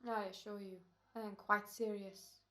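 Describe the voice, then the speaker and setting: A woman playing a quietly menacing gentleman murderer in a 1940s-style radio thriller, formal and deliberate in short phrases. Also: mid-range voice, flat delivery, natural voice. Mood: cold menace.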